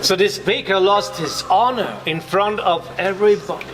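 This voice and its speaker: A man giving interview answers as a local guide, explaining slowly. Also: high-pitched. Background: music.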